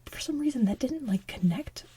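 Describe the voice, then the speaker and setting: A woman's voice: sits high, animated, normal speed, dark tone. A woman whispering as an ASMR host, rambling casually and enthusiastically like a devoted fan. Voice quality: breathy whisper. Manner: rambling, gushing fan talk.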